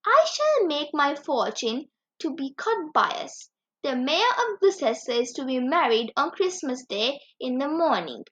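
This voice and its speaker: A woman's voice: high voice, very animated, measured pace, a bit bright, full character voice. A woman voicing a poor, weary male tailor who frets and mutters in a storybook reading. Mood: musing, worried. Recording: dull lo-fi recording.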